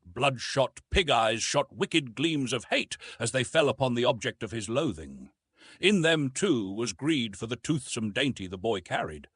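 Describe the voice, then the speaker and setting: A man reads an adventure story with dramatic flair, his pitch rising and falling widely. His voice is mid-pitched with a husky grain, and the recording is close and dry. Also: a bit bright, dry recording. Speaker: audiobook narrator. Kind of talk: scripted narration of an adventure novel.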